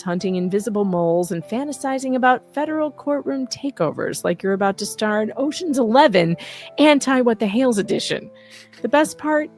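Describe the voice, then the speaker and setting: A woman reading out scripted, sarcastic commentary in a mocking, theatrical manner. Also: mid-range voice. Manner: often laughs while reading. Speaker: host reading an open letter.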